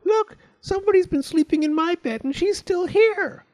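A man voicing a small, childlike baby bear in a squeaky, plaintive voice for a children's storybook. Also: normal speed, a little mellow. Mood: tearful outrage. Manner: crying out plaintively.

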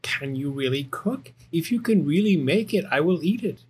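A man's voice: on the high side, animated, moderate pace, a little mellow, slight background noise, pitched above the performer's own voice, natural voice. A man voicing a frank, helpful male cat in a children's storybook, blunt and instructing. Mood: doubtful.

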